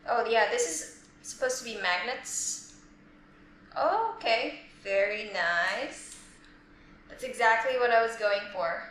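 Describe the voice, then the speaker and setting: A woman in her twenties from the Philippines, vlogging casually as an artist in her studio, chatty and relaxed with lots of "like" fillers. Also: fairly high pitch, slight background noise. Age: 20s. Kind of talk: casual vlog chat. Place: art studio. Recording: echoey room with faint music.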